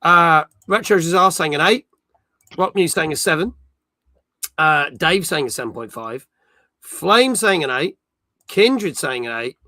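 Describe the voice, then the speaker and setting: A British man in his forties hosts a casual gaming stream. His voice sits on the high side and is very bright and forward, captured close and dry. He chats and reads out live comments as he goes. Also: lively intonation, unhurried, long pauses, dry recording. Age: 40s.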